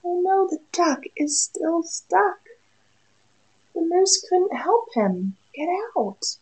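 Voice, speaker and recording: A woman's voice: very high voice, sing-song, slow pace, a little mellow, low-quality recording. A woman in her thirties, a preschool teacher, reading a picture book aloud expressively and in a sing-song way to young children. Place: small room indoors.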